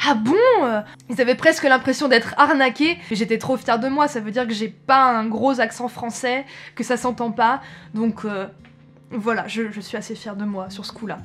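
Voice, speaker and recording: A woman's voice: a little high, fairly even intonation, normal speed, fairly bright tone. A young woman from France chatting casually to camera as a travel vlogger, with frequent hesitations and self-corrections.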